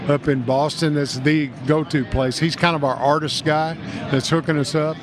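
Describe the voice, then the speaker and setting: A man's voice: on the high side, fairly even intonation, normal speed, over music. An older man with a Kentucky accent, talking in a rambling, enthusiastic way as a guest at a trade show. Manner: folksy, rambling, enthusiastic salesman. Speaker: guest, music store owner. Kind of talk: casual trade-show interview.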